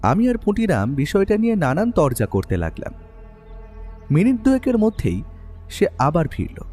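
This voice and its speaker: Brief: a man playing a first-person narrator in a radio drama, mellow voice rising and falling, long reverb over soft music. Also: high-pitched, normal speed. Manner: lively, expressive storytelling. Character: the detective's male companion and writer, first-person narrator. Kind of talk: storytelling narration in an audio drama.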